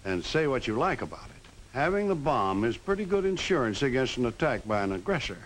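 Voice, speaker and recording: A man's voice: medium pitch, very animated, a little fast, slight background noise, natural voice, low-quality recording. An actor playing a persuasive, pragmatic small-town booster in a 1950s film drama, talking like an assured salesman on an old soundtrack. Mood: matter-of-fact.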